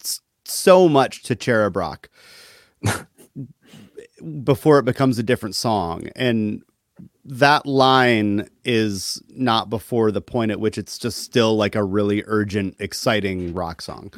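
A man talking casually as a podcast guest, thoughtful and halting, with a wry streak. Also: lowish voice, dry recording, clean studio sound. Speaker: interview guest, musician.

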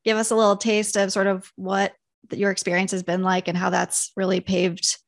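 A woman's voice: mid-range voice, completely flat delivery, a little fast, vocal fry. A woman co-hosting a podcast, reading a content warning and asking friendly, prompting questions. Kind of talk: conversational interviewing.

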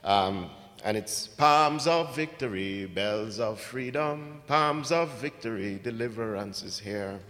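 Guy from ghana reading his poems, measured and deliberate, a bit halting between them, lots of hall reverb. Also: fairly low pitch, animated, fairly bright tone. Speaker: poet. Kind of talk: poetry reading with spoken introductions.

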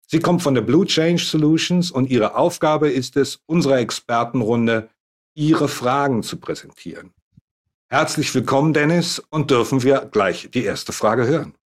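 Ruhiger männlicher Moderator einer Expertenrunde. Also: male voice, medium pitch. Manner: introduces guests in a measured, formal tone. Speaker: host. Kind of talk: moderating a panel Q&A.

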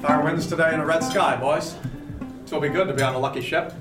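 A man's voice: fairly high pitch, fairly even intonation, a little fast, natural voice. A man playing a hearty, respected first mate in a period seafaring radio drama, speaking with genial authority and nautical phrasing. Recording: echoey room with faint music.

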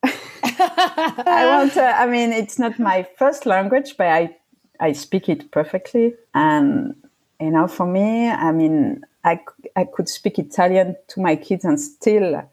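Guest: middle-aged woman from Switzerland, low-ish voice, thoughtful and halting. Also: a little mellow. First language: French. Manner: thoughtful, frequent restarts and fillers. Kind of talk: casual interview conversation.

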